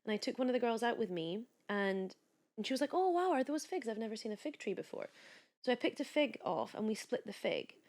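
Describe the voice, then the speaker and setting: A woman's voice: a little high, sing-song, a little fast, a little mellow. A woman in her late thirties from Kuwait, a podcast guest and wellness coach chatting casually and animatedly, with lots of "like"s and restarts. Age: late 30s. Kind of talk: casual chat.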